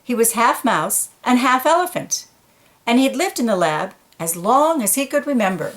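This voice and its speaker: A woman reading a picture book aloud to children in a gentle, engaged way. She pauses to point out little details in the illustrations before going back to the story. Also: a little high, animated.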